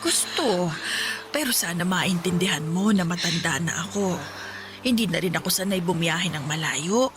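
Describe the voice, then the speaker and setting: A woman acting as an old mother in a radio drama, worn down and full of guilt. She speaks haltingly and humbly, as one who lives alone and asks for little. Clear dramatic music plays beneath her. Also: on the low side, natural voice. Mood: resigned. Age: older. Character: weary, guilt-ridden old mother living alone in a shanty. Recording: music under the dialogue.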